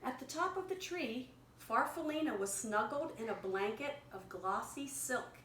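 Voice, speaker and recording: A woman reading a picture story aloud to a young child, pausing to explain words simply and prompt repetition. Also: a little high, animated, fairly bright tone. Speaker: children's story reader and teacher. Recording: echoey room with clear background noise.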